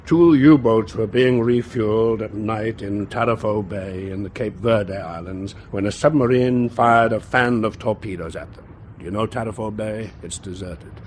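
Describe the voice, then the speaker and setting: A man's voice: fairly low pitch, moderate pace, lightly acted. Man acting urbane wartime naval captain, noisy backdrop. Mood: serious, confiding. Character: worldly German naval captain, genial host, scornful of Berlin. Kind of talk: wartime radio drama acting.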